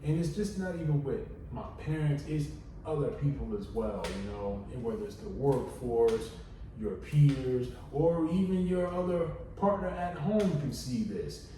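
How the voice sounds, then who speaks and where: A soft-spoken man in his thirties with a deep voice, chatting casually on a podcast in a relaxed, drawling way with many fillers. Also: measured pace. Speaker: podcast co-host. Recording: echoey room, distant mic, faint noise.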